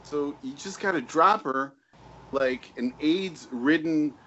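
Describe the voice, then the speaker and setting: A man's voice: on the high side, slow pace, muffled recording, a little hiss or hum. A man who is a guest on a podcast, talking bluntly and crudely in casual banter. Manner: blunt, profane, animated.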